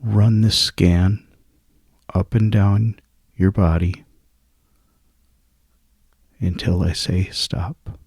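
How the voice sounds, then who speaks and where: A man with a very low, creaky voice guides a sleep meditation. He is hushed and soothing, leaving long gaps between short phrases. The sound is close and dry, with a husky grain to his words. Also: fairly even intonation, very slow, pauses often, dry recording.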